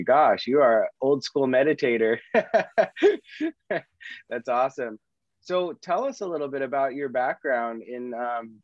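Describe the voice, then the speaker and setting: The man who hosts a relaxed online webinar, feeling his way thoughtfully and haltingly into each question, a touch muffled. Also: medium pitch, no echo. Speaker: webinar host. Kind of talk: casual interview chat.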